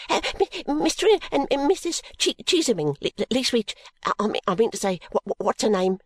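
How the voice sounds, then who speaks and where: Man putting on a flustered young maid, high breathless stammer, nervous, dry close sound. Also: moderate pace, creaky, no room sound.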